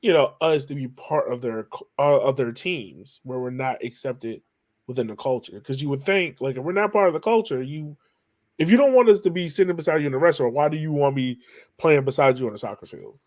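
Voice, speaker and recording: A man's voice: mid-range voice, lively intonation, fairly quick, a little mellow. A man hosting a podcast in a casual conversational style, musing informally and building rhetorical questions. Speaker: podcast host.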